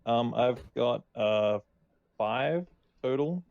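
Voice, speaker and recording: Slow-talking man in a game, dull mic. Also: medium pitch, lively intonation, gravelly, darkish tone, no room sound. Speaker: tabletop game player. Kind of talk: casual chat over a game.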